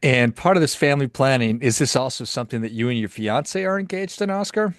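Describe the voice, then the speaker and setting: A man's voice: medium pitch, lively intonation, fairly quick, no echo, studio quality. A man hosting a podcast, chatting casually and asking playful, teasing questions. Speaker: podcast host. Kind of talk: casual interview chat.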